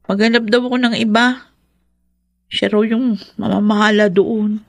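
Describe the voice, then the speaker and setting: An actress playing a meek, dutiful young daughter in a Filipino radio drama, hesitant, polite and subdued. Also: female voice, medium pitch, fairly even intonation, moderate pace, no room sound, close to an everyday voice. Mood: subdued, sad.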